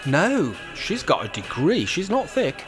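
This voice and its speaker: A man's voice: a little high, wildly swooping pitch, a little slow, faint music behind. A man playing a grumpy, bossy, rebellious older man in a comic radio play, blunt and exasperated. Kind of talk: radio play comedy acting.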